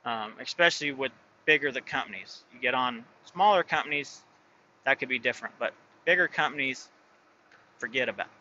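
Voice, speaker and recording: A man's voice: medium pitch, brisk, bright, forceful tone, highs cut off, a little hiss or hum. A man who drives his own truck, talking casually and rambling, full of fillers and restarts. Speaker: truck driver and owner operator. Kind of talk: casual vlog talk.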